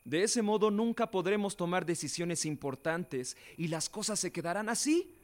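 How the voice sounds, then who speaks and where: A man's voice: high-pitched, animated, normal speed. A man acting in a radio drama as an idealistic young cousin in a colonial family, earnest and articulate. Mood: earnest, indignant. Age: young adult. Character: idealistic young male cousin, sympathetic to independence.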